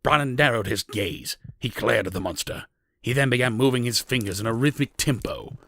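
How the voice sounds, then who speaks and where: A man's voice: medium pitch, a little fast, creaky, sharp tone, no echo, clean studio sound. A man narrating a scripted fantasy story as the narrator of an audio drama.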